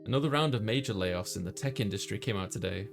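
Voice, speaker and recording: A man's voice: on the low side, fast talker. A man streaming a visual novel, reading the story aloud and chatting casually. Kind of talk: read-aloud story narration with casual chat. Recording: clear music behind the voice.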